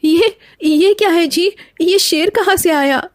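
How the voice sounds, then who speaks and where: Woman acting a high-voiced, fretful cartoon village wife. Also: slow, no echo, studio quality. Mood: alarmed. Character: dutiful, fretful young wife of a village woodcutter. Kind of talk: Hindi cartoon story dialogue.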